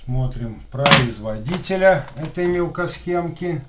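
Male repair technician, thirties, lisping, medium pitch, talking casually through his work in a roomy, noisy recording. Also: lively intonation, slow pace. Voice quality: lisping after dental work. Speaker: electronics repair technician and video host. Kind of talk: casual hands-on tutorial commentary. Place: small room at a desk, indoors. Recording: roomy echo with clear background noise.

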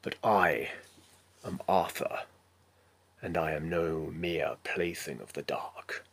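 A man playing a resolute, battle-worn armored knight in a dramatic film monologue, declaiming slowly and solemnly with breathy phrase endings. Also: low voice, a bit bright, heavily acted. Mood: defiant, solemn.

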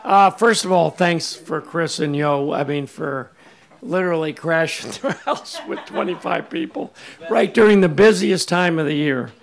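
A man's voice: on the high side, a little slow, vocal fry. A man in his sixties, the groom, giving a wedding toast with thanks and wry asides. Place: candlelit tent at a dinner party. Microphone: handheld mic.

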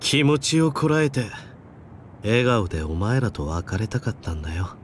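Rough-talking, kind-hearted man. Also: lowish voice, sing-song, moderate pace, background noise. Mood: earnest, sympathetic. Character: plain-spoken, warm-hearted rough young man. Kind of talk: Japanese anime game voice acting.

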